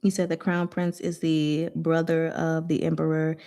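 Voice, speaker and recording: Casual, slightly low-voiced woman hosting a livestream. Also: moderate pace. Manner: animated, informal, playfully exasperated. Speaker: host of a book discussion livestream. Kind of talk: casual live chat with viewers.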